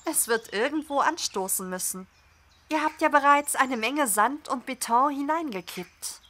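A woman's voice: high voice, animated, measured pace, fairly bright tone. A woman playing a friendly podcast guest in a German audio drama, chatty and explanatory. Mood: matter-of-fact.

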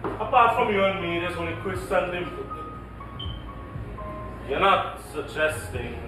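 An actor playing a flustered, evasive middle-class husband in a comedic stage play, halting and exclamatory. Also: male voice, high-pitched, expressive. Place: indoor theatre stage. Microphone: distant stage pickup. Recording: echoey theatre from far off, music behind.

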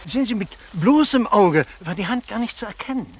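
An actor playing a nervous, obsequious informant in a German crime radio play, hesitant and deferential. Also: male voice, high-pitched, lively intonation, brisk, a little hiss or hum. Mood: eager, deferential. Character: nervous, obsequious male informant and would-be blackmailer.

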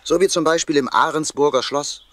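A man's voice: fairly high pitch, brisk, lightly acted. An actor playing a no-nonsense, sceptical father in a children's audio play, matter-of-fact and lecturing.

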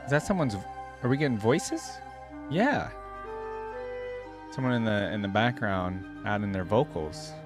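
A man's voice: on the low side, big pitch swings, measured pace, long pauses. A young man giving casual reaction commentary in an easygoing, slangy way, thinking aloud at a desk microphone. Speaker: reaction video host. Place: indoor room at a desk, gaming chair. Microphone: desk microphone close in front, headset worn. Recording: music playing behind the voice. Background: music.

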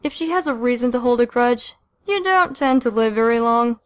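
A woman's voice: on the high side, lively intonation, moderate pace, no room sound, natural voice. A flippant, theatrical young male superhero acted by a woman narrator drawls with exaggeration in an audiobook story. The sound is dry and close. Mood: wry.

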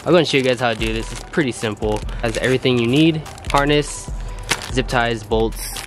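A man's voice: mid-range voice, fairly quick, music in the background. A man in his twenties vlogging casually about car parts, upbeat and a little nasal from a cold. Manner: upbeat, says "guys" a lot. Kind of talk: casual vlog chat. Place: driveway and garage, outdoors.

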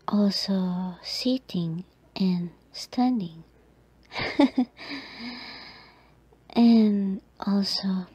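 A woman voicing an obsessive, sweetly menacing yandere childhood friend in an ASMR roleplay, drawn-out and teasing, slipping into breathy whispers. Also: medium pitch, mellow, dry recording, heavily acted, low-quality recording.

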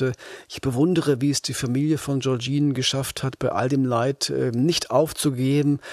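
A man's voice: mid-range voice, a little mellow, no echo, studio quality. A man, talking as a journalist and co-host on a true-crime podcast.

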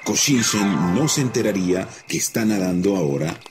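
A man narrating a children's animated folk tale as a storyteller. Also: low-pitched, normal speed. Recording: music under the voice.

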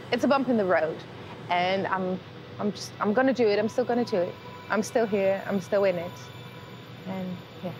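A woman's voice: medium pitch, rough recording. Hesitant woman pilot in an interview. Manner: hesitant, halting, with restarts. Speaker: airline pilot. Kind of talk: candid interview. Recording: interview with music behind.

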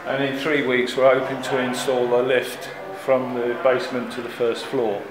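A middle-aged head brewer giving a walking tour in plain, unhurried speech with fillers, filmed on a camera held close by. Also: male voice, mid-range voice, little pitch movement, crowd noise. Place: large echoey brewery building, indoors. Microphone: handheld camera close by, following him. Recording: echoey rooms with air-conditioning hum. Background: air-conditioning hum.

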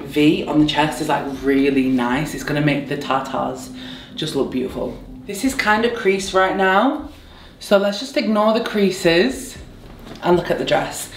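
A young woman with a hoarse, croaky voice from a sore throat, chatting playfully through a clothing try-on haul. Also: fairly low pitch, slight background noise. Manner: chatty, bubbly, playful asides. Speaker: fashion vlogger. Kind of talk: casual try-on haul chat. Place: bright indoor room at home. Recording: echoey room.

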